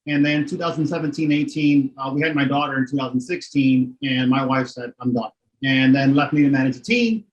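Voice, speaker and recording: A man's voice: medium pitch, a little fast, dull audio. A middle-aged man from Miami, a real estate investor speaking as an interview guest, candid and matter-of-fact, often adding "right?". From Miami, Florida. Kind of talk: casual interview talk.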